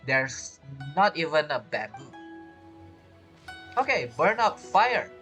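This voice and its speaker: A man's voice: a little high, big pitch swings, slow, sharp tone. A man giving casual let's-play commentary, reading game text aloud and repeating himself as he goes. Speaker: gaming streamer. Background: game music.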